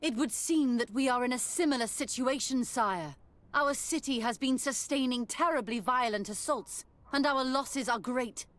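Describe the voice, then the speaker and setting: A woman's voice: sits high, normal speed, no room sound, natural voice. An actress playing a solemn, earnest female emissary in a game, with formal courtly delivery. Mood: grave, pleading. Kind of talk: game cutscene acting.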